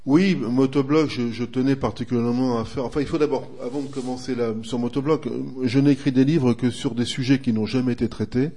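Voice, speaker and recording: A man in his sixties from northern France, a retired racer turned motoring writer, answering interview questions in an unhurried, reminiscing way with frequent hesitations. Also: mid-range voice, steady tone, warm tone. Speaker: guest, retired racing driver and motoring writer. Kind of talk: relaxed radio interview answers.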